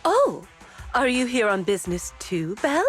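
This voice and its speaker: A woman's voice: a little high, sing-song, soft background music. A woman voicing an elegant, composed florist with a formal, courteous manner in a video game. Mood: pleasantly surprised. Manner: formal, measured. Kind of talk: video game character acting.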